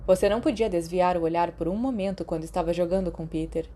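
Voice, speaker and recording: A woman's voice: on the low side, fast, dark tone, slight background noise. A woman with a Brazilian Portuguese accent reading a scripted story as an audiobook-style narrator. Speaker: podfic narrator. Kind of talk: scripted fiction narration with acted dialogue.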